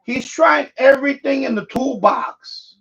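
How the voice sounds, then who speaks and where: Really high voiced guy ranting on a stream, swears a lot, bit lo-fi. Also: a little slow, creaky, a bit bright. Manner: blunt, sweary, combative. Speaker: live streamer. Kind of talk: casual live stream commentary.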